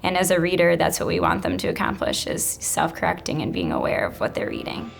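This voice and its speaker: A woman's voice: low voice, big pitch swings, a little fast, vocal fry, soft background music. A woman who teaches elementary school, explaining reading strategies and reading aloud in an instructional way.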